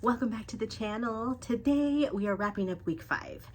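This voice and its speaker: A woman's voice: a little high, moderate pace, slight background noise. Congested woman chatting to viewers. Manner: chatty, talks straight to her viewers. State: congested, coughing from a cold. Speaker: vlogger. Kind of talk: casual weight loss update.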